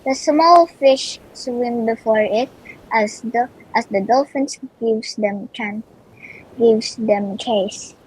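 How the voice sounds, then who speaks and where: A female reader with a halting delivery, reading a children's picture book aloud and stumbling over words. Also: fairly high pitch, husky, rough recording.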